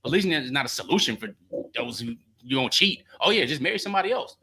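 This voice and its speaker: A man hosts a call-in show with a quick, bright, forceful delivery. He talks loosely and animatedly, slipping into slang and telling stories. His voice sits at an ordinary male pitch. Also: husky. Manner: animated, laughing, slangy storytelling. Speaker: call-in show host and coach. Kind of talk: call-in advice talk.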